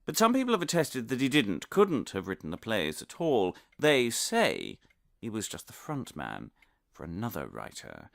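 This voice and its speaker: A man's voice: fairly low pitch, wildly swooping pitch, normal speed, gravelly, exaggerated voice. An actor playing an earnest, muddled, pompous male academic obsessed with conspiracy, giving a theatrical, digressive comic lecture. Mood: lecturing, dramatic. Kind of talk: comic monologue delivered as a scholarly lecture.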